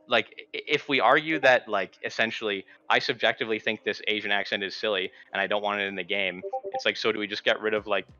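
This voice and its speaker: Low bright man on a dull mic. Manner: rambling, swears casually. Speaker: panel guest. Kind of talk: casual online discussion.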